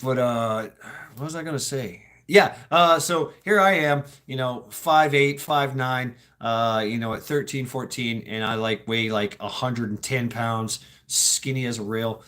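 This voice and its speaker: A man's voice: mid-range voice, measured pace, a bit bright. A middle-aged American man hosting a casual livestream, rambling through stories with swearing and chuckles. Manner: sweary, laughing, meandering storytelling. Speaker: livestream host.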